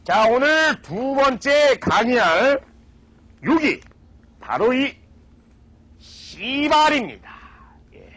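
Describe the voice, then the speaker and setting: A man on a comedy stage giving a mock lecture, drawing out his words slowly for emphasis. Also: very high-pitched, very animated, a bit bright. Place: stage with live audience.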